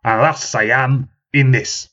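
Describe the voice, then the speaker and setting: A man's voice: fairly high pitch, big pitch swings, normal speed, breathy edge, highs cut off, pitched above the performer's own voice. A man voicing a boastful, stubborn old tavern storyteller who says ye, me and aye and holds forth grandly. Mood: proclaiming. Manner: dialect speech with ye, me and aye, proclaiming grandly.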